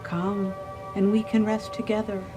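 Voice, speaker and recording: A woman's voice: mid-range voice, level tone, a little slow, lightly acted. Seductive undead vampire woman, music behind. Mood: beckoning hunger. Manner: beckoning, enticing. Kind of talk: radio drama acting.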